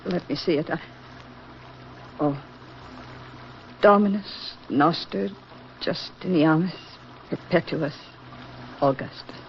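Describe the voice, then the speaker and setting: Earnest hospital attendant, muffled old radio drama. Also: female voice, deep voice, slow, pauses often. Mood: awestruck. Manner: urgent, dramatic. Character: earnest, emotional female hospital attendant, easily awestruck. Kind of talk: 1940s radio melodrama acting. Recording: dull 1940s radio recording, faint noise.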